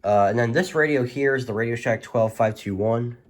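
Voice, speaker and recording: A man's voice: medium pitch, fairly quick. A man giving a casual, rambling showcase of his collection, talking informally and hesitantly as he presents each item. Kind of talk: casual product showcase narration.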